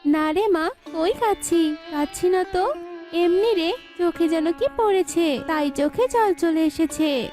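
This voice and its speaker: A woman voicing a gentle, affectionate, motherly little bird in a children's cartoon. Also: very high-pitched, unhurried, soft background music. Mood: hiding sadness. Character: gentle, caring mother tailorbird.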